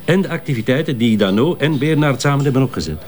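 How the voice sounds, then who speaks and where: An actor with a Flemish accent playing a composed police detective who lays out evidence methodically in a radio drama. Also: male voice, medium pitch, animated, fast, natural voice. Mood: explanatory. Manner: orderly, explanatory.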